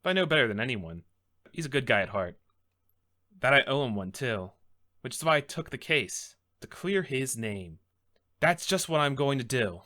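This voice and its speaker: Guy doing a nervous young rookie lawyer from a game, earnest, bright voice, hesitant. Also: mid-range voice, expressive, moderate pace. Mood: earnest, reflective. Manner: hesitant, stammering.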